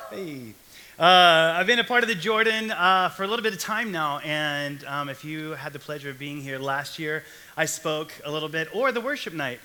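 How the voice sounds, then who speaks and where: A man's voice: fairly high pitch, sharp tone. A young man from Texas giving a casual, joking sermon to a young adult church group. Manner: chatty, jokey asides. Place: church hall stage.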